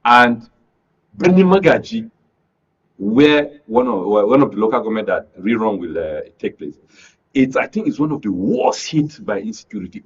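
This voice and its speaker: A Nigerian man speaking as a studio guest, giving emphatic, deliberate political analysis in a radio discussion and often repeating phrases. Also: medium pitch, breathy edge, lo-fi sound.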